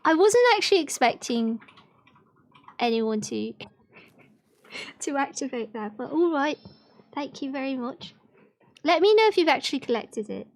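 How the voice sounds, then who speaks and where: A woman reads fairy tales aloud for a livestream. Her voice sits high, and she tells the story in an expressive storybook way. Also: unhurried, long pauses.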